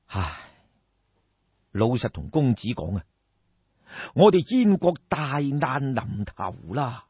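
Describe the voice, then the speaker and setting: A man voicing a seasoned, loyal army general in Cantonese, sighing and speaking in a deliberate, measured way. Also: medium pitch, very animated, slightly rough, dry recording, lo-fi sound, voice pushed down, exaggerated voice. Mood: grave. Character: seasoned male army general, grave, weary, firm and loyal.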